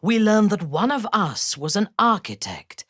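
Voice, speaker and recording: Haughty ruthless drow elf lady from a fantasy game, low husky voice, swoops around a lot, cold and commanding. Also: very animated, fairly bright tone, no echo, studio quality, exaggerated voice. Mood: cool contempt. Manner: imperious, measured, coldly menacing. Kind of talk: scripted fantasy game voice acting.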